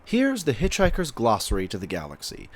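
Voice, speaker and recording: Lively male narrator with swooping intonation. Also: mid-range voice, a little fast, slightly rough. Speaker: narrator of explainer segments. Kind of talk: scripted narration.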